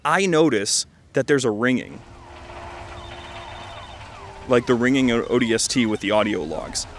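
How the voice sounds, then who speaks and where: A man's voice: mid-range voice, unhurried, slight background noise. A man in his twenties giving casual, rambling game commentary as a video host, enthusiastic and full of jokey asides. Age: 20s. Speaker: video host reviewing fan games.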